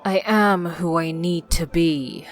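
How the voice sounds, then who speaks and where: A woman playing a curt, commanding ancient adventurer in a fantasy audio drama, speaking in a measured, imperious and formal way. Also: lowish voice. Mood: cool, commanding.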